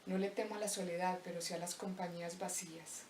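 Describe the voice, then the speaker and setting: A young woman from Colombia with a Paisa accent, slowly reciting a reflective spoken-word poem with deliberate pauses. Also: fairly low pitch, steady tone, darkish tone, a little hiss or hum. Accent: Paisa Colombian Spanish. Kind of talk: spoken-word poetry monologue. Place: empty abandoned house. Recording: roomy echo, voice distant from mic.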